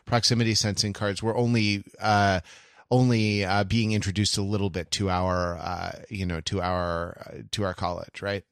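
A man co-hosting a podcast in casual chat, halting and wry as he searches for his words. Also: fairly low pitch, level tone, smooth, no room sound, clean studio sound. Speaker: podcast co-host.

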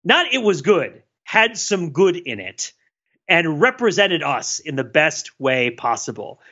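Excitable bright-voiced male podcaster. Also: a little high. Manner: excitable, quick bursts with many restarts. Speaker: podcast co-host. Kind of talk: casual chat. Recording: dull lo-fi mic.

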